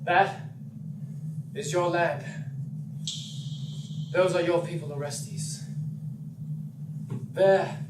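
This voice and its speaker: Male stage actor, extremely measured pace, theatrical, distant in a noisy reverberant hall. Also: on the high side, steady tone. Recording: echoey noisy theater from far off.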